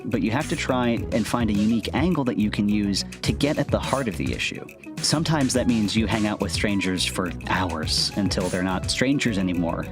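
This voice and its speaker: Low-voiced male podcast host over guitar music. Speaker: host and audio fiction writer. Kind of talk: conversational podcast introduction.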